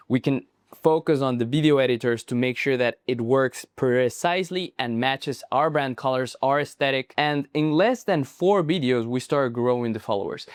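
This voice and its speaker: A man's voice: mid-range voice, dry recording, studio quality. A young man with a Spanish accent, a native Spanish speaker, explaining a marketing approach to camera in an earnest, casual way and often checking in with "right?". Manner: earnest, explanatory, with frequent restarts and "right?" asides. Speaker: marketing coach.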